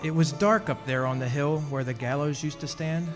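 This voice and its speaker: Guy acting uneasy confiding man narrating a spooky radio play, highish voice, bit of music under. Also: fairly even intonation, moderate pace, natural voice. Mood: eerie, recalling. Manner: conversational, confiding, dramatic. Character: uneasy, confiding immortal man bound to a witch. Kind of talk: stage revival of 1940s radio drama narration.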